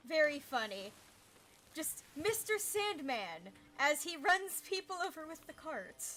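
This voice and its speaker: A woman streaming a game, chatting casually with an energetic, naturally loud manner. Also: sits high, a little slow. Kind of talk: casual gaming stream chat. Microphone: desk mic, peaking.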